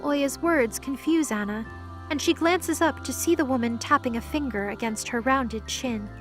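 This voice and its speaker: A woman narrates a scripted audio drama. Her voice is high in pitch. Faint music plays underneath as she tells the story. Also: normal speed.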